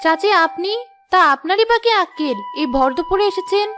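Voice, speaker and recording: A woman playing a hot-headed young village wife and mother in a cartoon drama, sharp and accusing, firing off rhetorical questions. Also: extremely high pitch, animated, moderate pace, over music. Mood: curt, rude. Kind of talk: Bengali cartoon drama acting.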